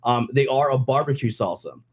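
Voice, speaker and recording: A hoarse young man hosting a casual podcast from a home kitchen, rambling with lots of fillers. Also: medium pitch, lively intonation, brisk. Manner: rambling, loose, many fillers. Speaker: podcast host. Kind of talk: casual chat. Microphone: headset and desk mic.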